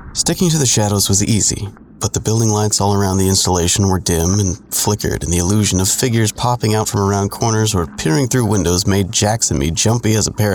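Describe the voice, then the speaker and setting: A wry first-person narrator in an audio drama, his voice fairly low. He tells his story with sardonic flair over clearly noisy ambient sound. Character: wry, sardonic man narrating his own teenage misadventure.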